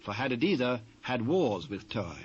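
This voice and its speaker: A man with a British accent narrating scripture in a formal, measured way. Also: medium pitch, sing-song. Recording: dull, faintly noisy old cassette transfer.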